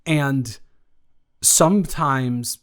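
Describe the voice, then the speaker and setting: A young man playing a nervous, loving male college student in an improvised intimate audio roleplay, speaking in halting, rambling runs with stammers and restarts. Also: medium pitch. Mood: hesitant, tender.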